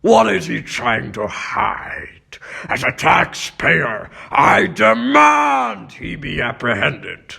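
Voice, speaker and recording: A gravel-throated, blustering newspaper boss from a comic book. He is pompous and demanding, with a hard, forceful edge and a slow, weighty delivery. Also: a little high, huge pitch swings, exaggerated voice. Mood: indignant, demanding. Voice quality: raspy, gravelly. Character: blustering middle-aged male newspaper boss, suspicious and miserly. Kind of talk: comic book dub acting.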